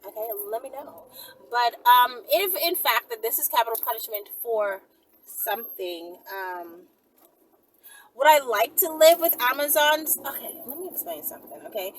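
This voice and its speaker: A woman's voice: fairly high pitch, lively intonation, moderate pace, poor audio. A woman hosting a casual question-and-answer session, speaking in a blunt, sassy way. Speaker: host answering viewer questions. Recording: faint background noise and room ambience.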